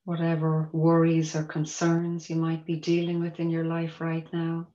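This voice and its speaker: A woman teaching mindfulness, guiding a meditation in a gentle, measured, inviting manner. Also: sits low, completely flat delivery. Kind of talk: guided meditation instruction. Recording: roomy echo, dull muffled sound.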